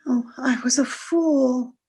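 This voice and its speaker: A woman's voice: sits high, slow, dark tone, husky, exaggerated voice. An actress playing a wistful, anxious married woman in an old-time radio drama, speaking in a plaintive, earnest way. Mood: wistful, regretful.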